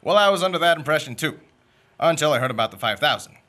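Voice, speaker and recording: A young man doing a bright, wisecracking shyster lawyer. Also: fairly high pitch, expressive. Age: young adult. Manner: rapid wisecracks, mock-grand speeches. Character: fast-talking, greedy male shyster lawyer. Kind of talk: comic radio play acting.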